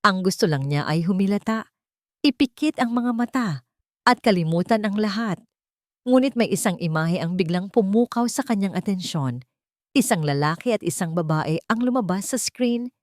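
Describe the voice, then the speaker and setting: A woman storyteller reading a scripted, dramatic narration with measured phrasing. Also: mid-range voice, dry recording, studio quality.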